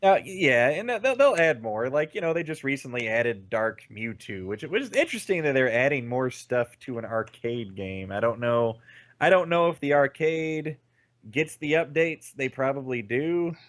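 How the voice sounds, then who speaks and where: A man with a stuffy nose co-hosting a casual gaming podcast. He rambles at a middling pitch, restarting sentences and leaning on fillers. The recording is dry and close, with no room sound. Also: lively intonation, moderate pace, fairly bright tone, no room sound. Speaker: podcast co-host.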